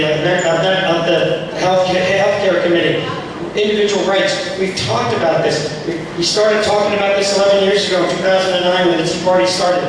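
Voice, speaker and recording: Hoarse, fervent man, fairly high voice, heard from afar in a booming, noisy hall. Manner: fervent, rallying, asks the room questions. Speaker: speaker at a political group meeting. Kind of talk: impassioned meeting speech. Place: meeting hall. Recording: echoey hall from far off, noisy.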